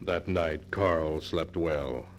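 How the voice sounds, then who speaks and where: A man narrating an old-time radio suspense drama in a grave, measured, dramatic storytelling style. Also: rumbling low, full character voice. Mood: grave, suspenseful. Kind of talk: 1940s radio scripted narration. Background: music.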